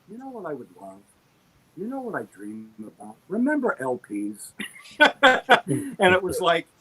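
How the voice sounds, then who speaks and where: Enthusiastic middle-aged man over clearly noisy audio. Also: a little high, pushed and bright. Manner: enthusiastic, rambling, self-deprecating storyteller. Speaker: guest, audio drama producer. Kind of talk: conversational interview talk.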